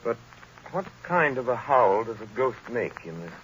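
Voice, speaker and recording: An American actor playing a composed, urbane sleuth with polished delivery in a 1930s radio drama. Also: male voice, medium pitch, sing-song, moderate pace, a bit bright. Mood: composed, curious. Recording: muffled, noisy 1930s radio recording.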